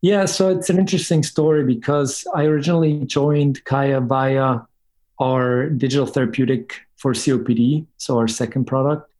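A man's voice: medium pitch, fairly even intonation, moderate pace, darkish tone. Methodical male guest. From Switzerland. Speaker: guest, company co-founder. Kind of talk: conversational interview answers.

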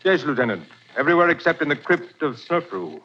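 Stiff precise museum doctor, lively pitch, old radio sound. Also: male voice, a little fast. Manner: formal, precise. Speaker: museum director. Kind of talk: 1940s radio acting. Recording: dull 1940s radio recording, faint hiss.

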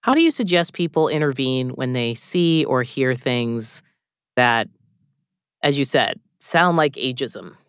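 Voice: medium pitch, lively intonation, unhurried, fairly bright tone, clear, resonant tone, no echo, clean studio sound. A radio host guiding an interview, asking questions in a conversational way.

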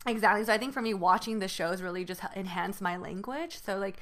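A woman in a relaxed conversation, talking quickly and fluently with frequent fillers. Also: mid-range voice, crowd noise. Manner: fast, fluent talker with many fillers. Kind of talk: casual chat.

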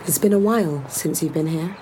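A woman's voice: low voice, a little fast, warm tone, a little hiss or hum, lightly acted, poor audio. A woman playing a poised, guarded corporate executive in an audio drama, speaking formally and with control. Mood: cool, dry. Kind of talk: scripted audio drama acting.

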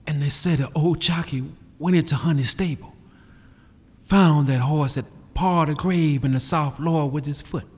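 A man's voice: on the high side, normal speed, darkish tone, slightly rough. A male storyteller narrating with a rhythmic, building cadence. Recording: reverberant space with music behind.

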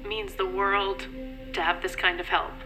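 A woman's voice: medium pitch, level tone, a little slow, music in the background, natural voice. An actress playing an anxious, grateful mother in an audio drama, speaking hesitantly and earnestly.

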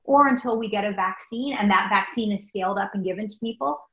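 A middle-aged American woman, a guest doctor answering interview questions in a thoughtful, halting, conversational way. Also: mid-range voice, fairly bright tone. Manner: thoughtful, halting, peppered with like and you know. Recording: roomy, dull lo-fi remote recording.

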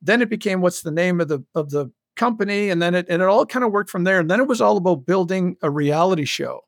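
A man with a notably high-pitched voice, recorded close and dry. He talks as a guest in a casual interview, enthusiastically stressing his words as he explains his creative work. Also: fast, no echo. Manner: enthusiastic, animated storytelling with frequent emphasis. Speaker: guest, audio fiction creator and commercial voice director.

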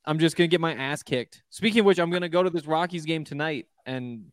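A man's voice: on the high side, fast, no echo, clean studio sound. A man from Montana co-hosting a casual sports betting chat over a video call, wry and self-deprecating as he rambles about his teams. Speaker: podcast co-host.

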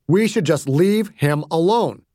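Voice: medium pitch, expressive, a little slow, mellow, no echo, clean studio sound, voice pushed up. A narrator voicing a cautious male army general, a reluctant subordinate who reasons and objects deferentially in an audiobook story.

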